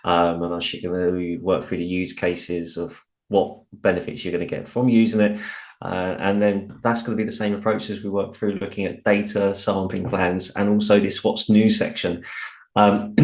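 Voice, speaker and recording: A British man with a low, slightly croaky voice leads a software training webinar. He talks informally through a headset, with plenty of ums and restarted phrases. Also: little pitch movement, moderate pace.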